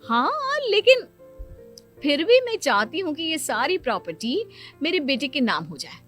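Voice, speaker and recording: Woman acting a high-pitched bossy cartoon bhabhi, sharp-tongued and possessive, music underneath. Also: sing-song, normal speed. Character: scheming, possessive elder sister-in-law and mother. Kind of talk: cartoon moral-story acting.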